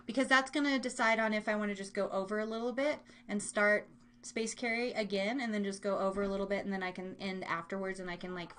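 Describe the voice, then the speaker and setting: A woman's voice: mid-range voice, a bit bright, slight background noise. An American woman from Kansas hosting a live stream from her room, chatting to listeners in an informal, rambling way with playful slang. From Kansas, United States. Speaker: livestream and podcast host. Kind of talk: casual live chat with listeners.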